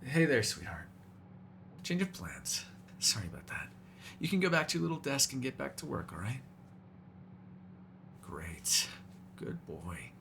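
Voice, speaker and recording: A man's voice: mid-range voice, big pitch swings, moderate pace, long pauses, a bit bright, slight background noise. A man voicing a hungry, cranky, flirtatious male vampire in an ASMR audio roleplay, sarcastic and given to swearing. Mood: coaxing. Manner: sarcastic, swearing, moody, shifting from murmurs to exasperated outbursts.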